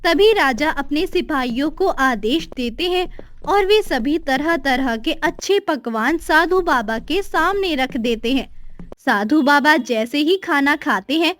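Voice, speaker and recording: High-pitched woman narrating a children's story. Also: animated, takes it slowly, a bit bright.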